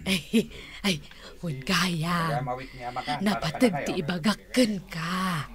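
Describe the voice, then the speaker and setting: A woman playing a coy, lovestruck, giggly visitor in a comic radio drama, with hesitant, drawn-out delivery. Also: on the low side, crowd noise. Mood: coy, delighted.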